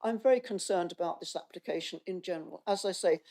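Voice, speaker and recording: Woman, low mellow voice, gravelly, losing her voice, earnest, hesitant. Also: fast talker. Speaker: councillor speaking against an application. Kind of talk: pleading speech at a council meeting.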